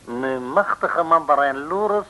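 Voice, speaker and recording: An actor playing a sly, cringingly polite foreign villain in an old radio serial, talking in broken, formal phrases. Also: male voice, a little high, slow pace, full character voice. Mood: sly, flattering. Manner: broken grammar, self-abasing flattery, formal address. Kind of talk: 1960s radio serial acting. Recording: noisy old radio recording.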